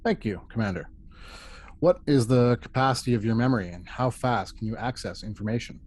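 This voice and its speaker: A medium-pitched male voice, formal and deliberate. A man acts out a stern starship first officer arguing as prosecutor in a sci-fi courtroom drama, read from a script. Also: expressive, a little mellow, close to an everyday voice. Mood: probing.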